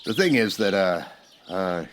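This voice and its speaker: An actor playing a weary, decent Old West marshal with a plainspoken, hesitant way in a radio western parody. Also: male voice, low voice, animated, measured pace, slight background noise. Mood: awkward, reluctant.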